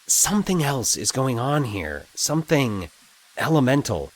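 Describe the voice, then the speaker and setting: A man voicing an anxious, excitable male character thinking aloud in halting, agitated bursts in an audio drama. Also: mid-range voice, pitched above the performer's own voice, rough recording. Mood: excited, grappling. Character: Mike, anxious excitable man puzzling out eerie coincidences. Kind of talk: dramatic audio fiction monologue.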